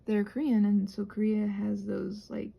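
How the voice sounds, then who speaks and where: A soft-spoken woman in a casual, rambling chat while she crafts, meandering and interrupting herself as she murmurs along. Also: medium pitch, monotone, measured pace, creaky, breathy edge, muffled recording. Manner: meandering, digressive, self-interrupting. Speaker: craft vlogger and audio creator.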